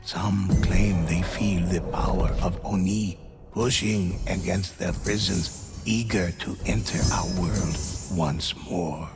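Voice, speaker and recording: Game narrator: solemn teller of legends, lowish voice, measured dramatic pauses, prominent soundtrack underneath. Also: male voice, steady tone, vocal fry, over music, full character voice. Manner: measured, grave, with weighty pauses. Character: solemn male storyteller recounting a grim legend. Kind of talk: dramatic scripted storytelling narration.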